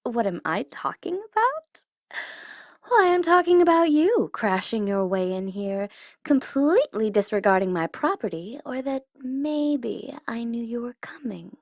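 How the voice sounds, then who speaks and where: A smug, gloating tsundere villainess performed by a woman for an audio roleplay. Her voice sits fairly high and she takes her time, teasing and taunting theatrically, sometimes sinking toward a whisper. The sound is dry and close. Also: expressive, measured pace, dry recording. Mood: mocking, smug. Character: smug tsundere female supervillain gloating over her captive hero.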